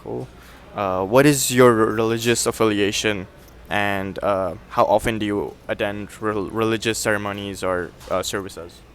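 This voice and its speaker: A young man interviewing a fellow student, reading survey questions in a quick, breezy way and answering each reply with a quick "cool". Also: on the low side, fairly even intonation, fairly bright tone.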